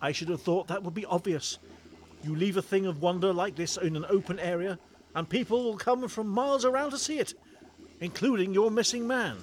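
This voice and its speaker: A man's voice: sits high, a little fast, slight background noise, lightly acted. A man playing a proud, eccentric Victorian inventor in an audio comedy, grandiose and easily defensive. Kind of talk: scripted audio comedy acting.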